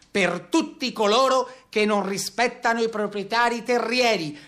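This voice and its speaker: An actor playing a stern town crier who declaims an official proclamation in a theatrical radio play. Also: male voice, very high voice, sharp tone, exaggerated voice.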